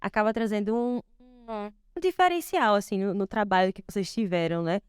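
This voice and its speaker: A woman hosting a panel talk, summarizing the guest's story and posing questions in a measured way. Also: medium pitch, clean tone, no echo, studio quality. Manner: measured, summarizing then asking. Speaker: host.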